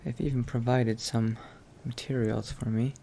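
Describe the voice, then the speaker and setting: A man's voice: mid-range voice, flat delivery, normal speed, vocal fry, warm tone, slight background noise. A man roleplaying in character through a video game, thinking aloud in a hesitant murmur. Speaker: player roleplaying a vampire Dark Elf character.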